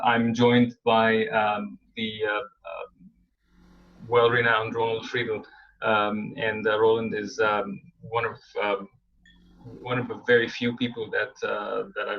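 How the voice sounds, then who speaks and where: A man's voice: lowish voice, a bit bright. A man, a marketing consultant, speaking on a webinar with many hesitations and fillers, sleepy after waking at five in the morning. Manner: hesitant, many ums and uhs, friendly. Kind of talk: webinar presentation. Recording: dull lo-fi webinar audio.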